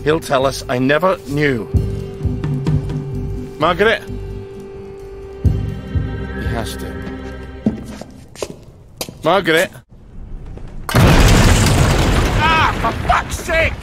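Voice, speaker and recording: Game role: haunted, foul-mouthed veteran, blunt, animated delivery, high-set for a man. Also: extremely slow, pauses often, over loud music, full character voice. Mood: pleading, shaken, then angry. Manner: blunt, profane, exclamatory. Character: gruff, sweary, haunted ex-soldier, stubborn and blunt. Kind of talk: video game voice acting.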